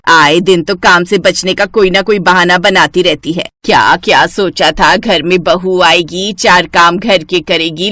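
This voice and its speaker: A woman's voice: fairly low pitch, pushed and bright, dry recording, studio quality. A woman voicing a spiteful, grumbling older mother-in-law who nags and accuses in a moral story. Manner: nagging, accusing. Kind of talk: animated Hindi moral-story voice acting.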